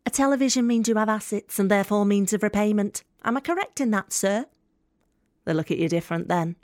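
A young Northern English woman , played by an actress, works as a debt collector in an audio play. She is blunt and wry, talking colloquially at a medium pitch in a dry, close recording. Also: no echo, lightly acted. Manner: colloquial, dry, matter-of-fact. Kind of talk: dramatic audio monologue.

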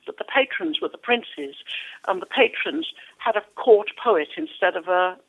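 A woman's voice: medium pitch, expressive, normal speed, fairly bright tone, husky. A woman, a national poet being interviewed, talking with passion and a ready laugh, often repeating words. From Wales. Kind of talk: radio interview. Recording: tinny phone-like line.

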